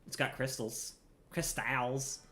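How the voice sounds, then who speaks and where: A man's voice: mid-range voice, fairly even intonation, slow, sharp tone. A man giving casual, rambling livestream commentary over a game in a playful, chatty way. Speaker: game streamer.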